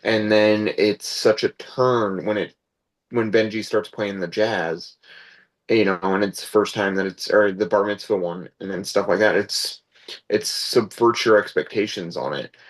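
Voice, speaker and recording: Low-voiced man explaining his opinion casually. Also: fairly even intonation, measured pace. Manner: explanatory, conversational. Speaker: participant in actors' discussion. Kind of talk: casual group discussion.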